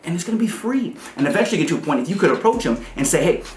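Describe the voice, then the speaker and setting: A man giving casual music business advice, fast and animated as he breaks down examples. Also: a little high, echoey room, a little hiss or hum. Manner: fast, animated, pointing things out. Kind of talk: casual advice talk to the camera.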